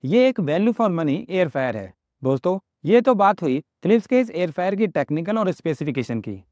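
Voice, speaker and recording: A man in his thirties with an Indian accent, presenting a product review and then a recipe walkthrough in an upbeat sales pitch. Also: sing-song, moderate pace, darkish tone, no room sound, clean studio sound. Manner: upbeat salesy pitch, addresses viewers as friends. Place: small home office room indoors. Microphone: lapel mic.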